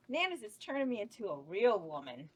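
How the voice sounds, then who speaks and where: A woman's voice: mid-range voice, sing-song, unhurried, husky. A woman voicing a ditzy, lovestruck young woman, giggly and sweet, at a table read. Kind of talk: table read of a horror comedy script.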